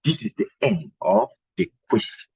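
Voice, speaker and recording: A Cameroonian man teaches an exam class in a rough, very husky voice. His pitch moves in a lively way as he explains points didactically and repeats key phrases. The sound is close and dry. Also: moderate pace, dry recording. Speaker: history teacher. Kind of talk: exam revision lesson.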